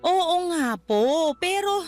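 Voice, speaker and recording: A protective young Filipino mother in a radio drama. Her voice is very high, polite but firm and pleading. Soft music sits faintly behind her. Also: lively intonation, takes it slowly, full character voice. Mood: pleading, defensive.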